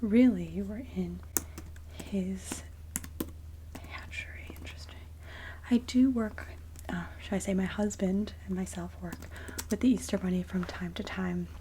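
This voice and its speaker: A woman speaking softly and breathily, often near a whisper, in a gentle, polite ASMR roleplay as a kindly Mrs. Claus. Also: medium pitch, steady tone, measured pace, a little hiss or hum. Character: kindly Mrs. Claus interviewing a job applicant. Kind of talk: soft-spoken ASMR roleplay interview.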